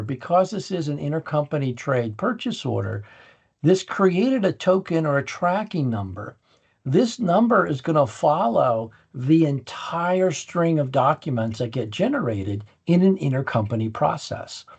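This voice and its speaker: A man giving a casual, conversational software walkthrough as a demonstrator, explaining each step in plain, folksy terms. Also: fairly high pitch, big pitch swings, a little slow, creaky, slightly rough.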